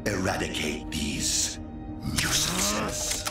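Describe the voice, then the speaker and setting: An actor playing a cold, imperious alien intelligence in a video game, pompous and commanding, with a booming, distant sound. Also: male voice, huge pitch swings, extremely slow, gravelly, very echoey, over loud music, heavily acted. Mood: commanding, menacing.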